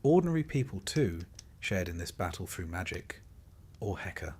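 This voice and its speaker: A man reading a scripted history narration for a sleep story, in a measured, carefully phrased storytelling manner. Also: low voice, big pitch swings, darkish tone, husky.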